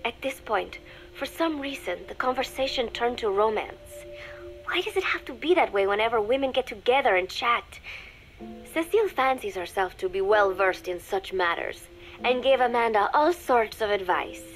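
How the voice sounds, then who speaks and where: A woman voicing a young undercover spy in a video game, dictating a private diary in a measured, confiding, dryly scornful way. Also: fairly high pitch, animated, soft background music, close to an everyday voice, low-quality recording. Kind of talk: scripted dramatic diary narration for a game.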